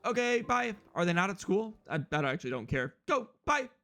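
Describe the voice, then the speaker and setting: A young man with a loud, slightly hoarse voice, rambling casually to his viewers as a live streamer while he plays a game. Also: high voice, big pitch swings, a little fast. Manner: loud, rambling, talks straight to chat. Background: faint guitar music.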